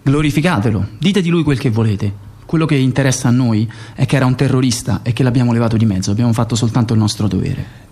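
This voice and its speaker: An actor playing a cold, dutiful Israeli agent reporting on covert missions in an Italian radio play. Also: male voice, medium pitch, fast talker, warm tone, close to an everyday voice. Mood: cold, contemptuous. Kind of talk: Italian radio theatre monologue.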